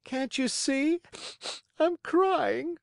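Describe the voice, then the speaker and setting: A storyteller voicing an emotional young wooden puppet boy in a high, childlike, pleading voice. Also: drawn-out words, creaky, no echo, studio quality, exaggerated voice. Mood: crying, surprised. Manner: emotional, wailing, eager.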